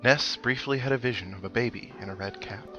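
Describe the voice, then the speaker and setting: A man with an average-pitched voice commentates on a video game in a casual, conversational way. His delivery is wry, with dry, mock-resigned asides. Game music plays clearly behind him. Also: a little fast, lo-fi sound. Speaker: video game let's play host.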